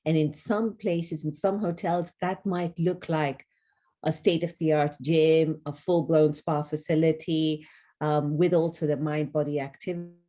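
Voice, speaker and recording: A woman's voice: low voice, steady tone, unhurried, mellow. A woman in her forties from Kenya, speaking articulately and earnestly as a guest answering questions in a conversational interview. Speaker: wellness consultant and author, podcast guest.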